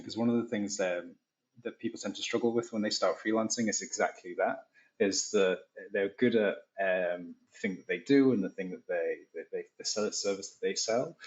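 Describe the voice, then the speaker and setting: A man's voice: fairly low pitch, highs cut off. A man hosting an interview, upbeat and chatty as he asks the questions. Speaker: podcast host. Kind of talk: casual interview chat.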